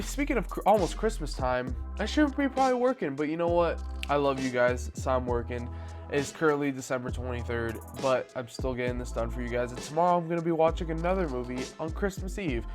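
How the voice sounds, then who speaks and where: Nasal young man with a cold. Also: mid-range voice. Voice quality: slightly raspy, nasal. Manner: chatty, excitable. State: has a cold. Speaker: movie reaction host. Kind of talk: casual commentary.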